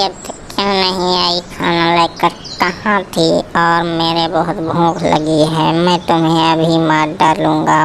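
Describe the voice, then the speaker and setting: Woman voicing a slow, creaky, threatening cartoon dog. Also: deep voice, a little hiss or hum. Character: hungry, bullying, threatening male dog. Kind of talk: children's animated animal story.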